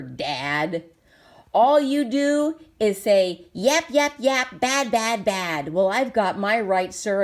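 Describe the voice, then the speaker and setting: A woman's voice: medium pitch, lightly acted. A woman playing a stubborn male factory owner from a storybook, telling his tale in emphatic, rhythmic rhyme. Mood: angry, defiant.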